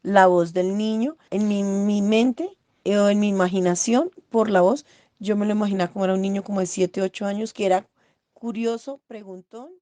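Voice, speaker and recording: A Colombian-accented woman in her forties casually sharing memories, halting as she searches for words. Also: mid-range voice, fairly even intonation, warm tone, no echo. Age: 40s. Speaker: interviewee. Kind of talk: casual recollection.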